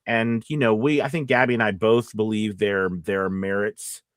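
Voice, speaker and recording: A man's voice: fairly low pitch, steady tone, normal speed, no room sound, studio quality. A man hosting a casual live Q&A as a voice-over coach, talking in a hesitant, stop-and-start way.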